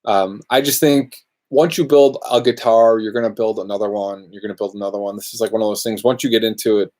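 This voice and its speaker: A man talks loosely to viewers from his shop during a live question-and-answer stream. His voice sits a little low and his delivery is relaxed and rambling, jumping from one comment to the next. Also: fast. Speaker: luthier podcast host. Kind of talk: casual live Q&A chat. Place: workshop.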